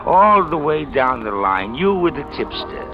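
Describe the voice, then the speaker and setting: Tired sad-sack gangster sidekick, real slow, slangy, muffled old radio with music under it. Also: male voice, on the high side, sing-song. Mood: wary, wistful. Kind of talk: 1940s-50s radio noir acting.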